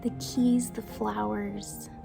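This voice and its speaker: A young woman from Brazil whose first language is Portuguese, chatting gently in a casual book review vlog and sometimes dropping to a soft whisper. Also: medium pitch, little pitch movement, slow pace, gravelly. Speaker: book vlogger. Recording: music playing behind the voice.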